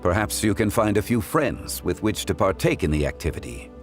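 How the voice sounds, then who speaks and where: A man voicing a calm, dignified, learned figure in a video game, speaking in a measured, formal way. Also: lowish voice, soft background music. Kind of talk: game voice acting.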